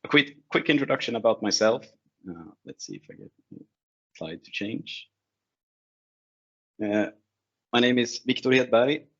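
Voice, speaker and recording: A man's voice: mid-range voice, expressive, measured pace, long pauses, a bit bright. A man who is not a native English speaker, giving an informal technical presentation in a conversational, slightly hesitant way. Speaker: presenter, IT security consultant. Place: online video call screen share. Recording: dull, lo-fi online meeting audio.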